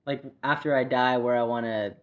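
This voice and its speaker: A young man with a soft voice chatting easily in a garage studio, full of "like" fillers and quick laughs. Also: mid-range voice, steady tone, lo-fi sound. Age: young adult. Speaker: guest singer-songwriter. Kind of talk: casual interview chat. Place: garage studio with leather couch. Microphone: studio mic on stand.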